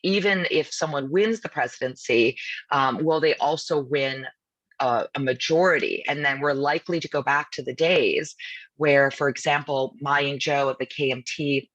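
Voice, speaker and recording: A woman who is a law professor, speaking with a husky voice over a video call in a media briefing and explaining things in a brisk, informal way. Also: sits low, a bit bright, dry recording, dull audio. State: husky voice from nonstop talking.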